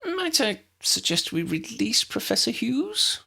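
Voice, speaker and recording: A man's voice: extremely high pitch, very animated, unhurried, creaky, sharp tone, husky, voice pushed up, exaggerated voice. A man voicing a small, courteous male professor in an audiobook, exclamatory and easily astonished. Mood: polite. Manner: exclamatory, proper, swooping.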